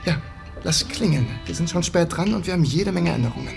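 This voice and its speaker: A man's voice: a little high, sing-song, fast talker, gravelly. An actor playing an affable but weary head writer juggling his team, in naturalistic radio drama dialogue. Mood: harried, placating. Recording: music bed behind voice.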